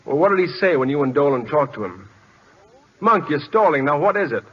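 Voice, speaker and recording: A man with an American accent playing a modest, educated teacher turned pitcher in radio drama, polite and hesitant. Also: big pitch swings, fairly quick. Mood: uneasy. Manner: polite, hesitant, well-spoken. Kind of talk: 1940s radio drama acting. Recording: dull old radio recording.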